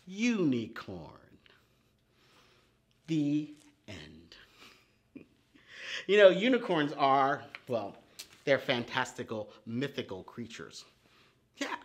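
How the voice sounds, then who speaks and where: Very slow, animated storytime man. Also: fairly high pitch. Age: middle-aged. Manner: animated, theatrical, drawn-out delivery with gasps and dramatic pauses. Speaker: preschool storytime host. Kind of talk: children's storytime lesson with expressive poem reading. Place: indoor set with painted forest backdrop.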